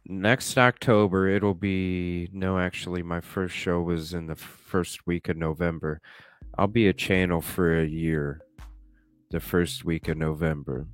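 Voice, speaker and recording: A man streaming live, chatting casually and thinking out loud with a stuffy nose, swearing now and then. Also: deep voice, fairly even intonation, measured pace, no echo, soft background music. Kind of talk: casual chat reacting to a video. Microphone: desk microphone with webcam.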